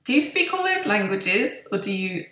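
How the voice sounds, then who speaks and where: A woman's voice: mid-range voice, big pitch swings, normal speed, fairly bright tone. A woman interviewing in a casual, agreeable, rambling way, rephrasing as she goes. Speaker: interviewer, physiotherapist. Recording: echoey room sound.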